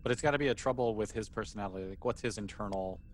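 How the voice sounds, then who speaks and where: A man's voice: on the low side, very fast, slight background noise. A man running a tabletop role-playing game as game master in a casual, conversational way.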